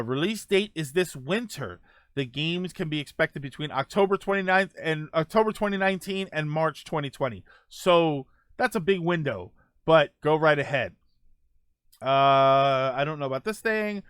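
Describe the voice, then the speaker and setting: Higher-voiced man, casual gaming livestream host. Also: bright, forceful tone, no room sound, studio quality. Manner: informal, asides while reading aloud. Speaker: host of a video game podcast and livestream. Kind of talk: casual livestream commentary, reading out news notes.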